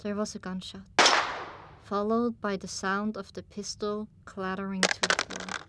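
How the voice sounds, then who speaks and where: A woman's voice: mid-range voice, level tone, unhurried, very noisy. A woman narrating a story in the first person in a dramatic audiobook style.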